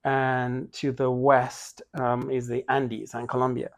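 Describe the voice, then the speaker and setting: A man's voice: mid-range voice, completely flat delivery, slow, vocal fry, no room sound. A man from Venezuela, a native Spanish speaker, chatting casually as a podcast guest, rambling with many restarts and fillers. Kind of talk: casual interview talk.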